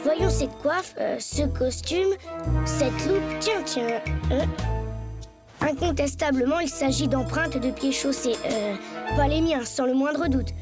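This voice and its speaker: High, pompous little boy detective. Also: music in the background. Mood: pompous deduction. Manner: pompous, precise, deliberate. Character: self-important schoolboy playing pompous master detective. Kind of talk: French animated series dialogue.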